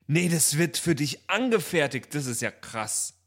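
A man chatting casually and jokingly, excitable and amused. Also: on the high side, unhurried. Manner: excitable, amused, breaks into laughter. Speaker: podcast player in an improvised audio play.

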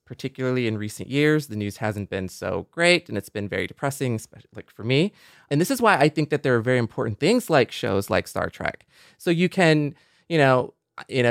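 A man's voice: mid-range voice, no echo. Man co-hosting a casual podcast, dry close sound. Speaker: podcast co-host. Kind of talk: casual reflective podcast chat.

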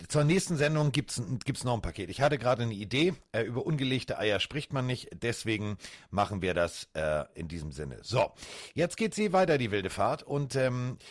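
A man co-hosting a casual podcast, animated and rambling, with many fillers and restarts. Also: medium pitch, no room sound, studio quality. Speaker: podcast co-host.

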